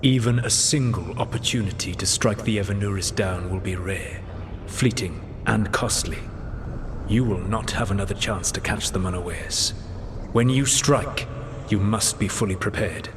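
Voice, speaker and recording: Ancient elven male mage for a fantasy game, played by a man: lowish pitch, grave formal delivery, clearly noisy backdrop. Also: lightly acted. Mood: solemn warning. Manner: measured, formal, solemn. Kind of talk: scripted fantasy game dialogue.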